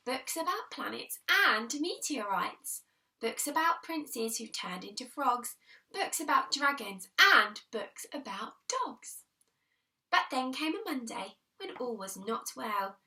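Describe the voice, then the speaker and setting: Slow, bright woman reading a children's story. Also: on the high side, distant mic. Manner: dramatic, deliberate storytelling with emphasised rhymes. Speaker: primary school teacher reading aloud. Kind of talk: expressive read-aloud of a children's picture book.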